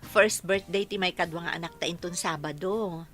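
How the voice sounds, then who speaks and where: A woman's voice: on the low side, moderate pace, close to an everyday voice. A woman playing a dutiful, caring young wife and mother in a radio drama, with a wistful streak. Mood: practical, planning. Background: music.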